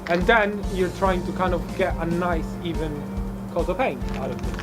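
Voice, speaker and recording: A man raised in Colombia, guiding a street art tour outdoors in a chatty, relaxed way. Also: high-pitched, moderate pace, over loud music. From born in Italy, raised in Colombia. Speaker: tour guide. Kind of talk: casual explaining on a walking tour.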